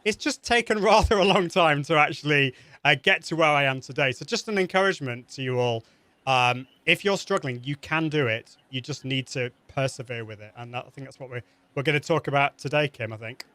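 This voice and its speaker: A man's voice: fairly high pitch, fairly quick, bright, forceful tone, no room sound. A man with a British accent, a guest on an interview show, giving earnest, encouraging advice and often restarting his sentences. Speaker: guest expert.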